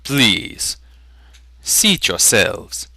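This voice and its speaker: A man voicing a smooth, courtly merchant in a fantasy audiobook reading, with a theatrical salesman's manner. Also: mid-range voice, sing-song, extremely slow, bright, forceful tone, no room sound, studio quality, heavily acted. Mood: smooth, probing. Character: smooth male trader, salesman-like, secretive, easily irritated.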